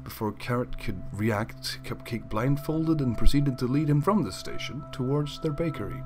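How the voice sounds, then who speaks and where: A man narrating a fan story in a lively, expressive reading voice. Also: mid-range voice, normal speed, music in the background. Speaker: fanfic reader.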